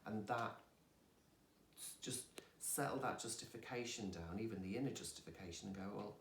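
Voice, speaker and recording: A woman's voice: very deep voice, normal speed, a little hiss or hum. A woman, a yoga teacher, talking candidly and expressively about her own healing and laughing at herself. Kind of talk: candid personal conversation. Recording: roomy sound from a distant mic.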